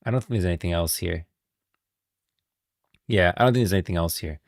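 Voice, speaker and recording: A man's voice: low-pitched, fairly quick, no room sound, clean studio sound. A man hosting a livestream with casual, emphatic and opinionated commentary on game lore. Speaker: livestream host.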